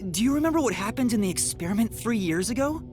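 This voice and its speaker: A woman's voice: fairly high pitch, lively intonation, quick pace, darkish tone, faint music behind, lightly acted. A woman voicing an earnest, anxious, boyish young trainee detective in a video game, reasoning things out aloud. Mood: puzzled, earnest.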